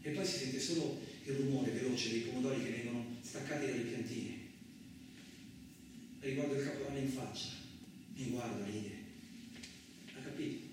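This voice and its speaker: An actor playing a frightened, injured farm labourer, telling his story in the first person as a halting, repetitive theatrical radio monologue. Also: medium pitch, background noise, close to an everyday voice. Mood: hushed, fearful. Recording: very echoey, distant, loud music behind. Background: music.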